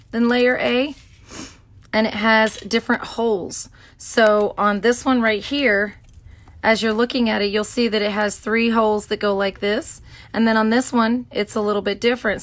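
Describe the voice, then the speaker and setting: A middle-aged woman, hoarse from a sore throat, talks through a casual craft demo in her home studio. She greets viewers by name and rambles with plenty of ums. Her voice comes from a camera mic at her desk. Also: medium pitch, a bit bright. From Florida. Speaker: craft livestream host.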